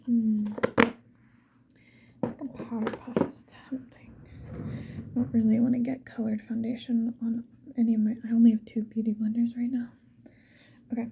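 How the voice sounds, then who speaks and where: A woman doing casual ASMR, whispering softly and musing to the viewer in an unhurried get-ready-with-me chat. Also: on the high side, flat delivery, vocal fry. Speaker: ASMR video creator. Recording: muffled lo-fi with background hiss.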